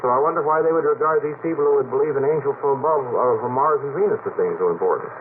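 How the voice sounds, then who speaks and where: A man's voice: medium pitch, very fast. A man arguing as a guest in a radio discussion, choosing his words carefully and analytically with hesitant starts. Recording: noisy, phone-like vintage radio recording.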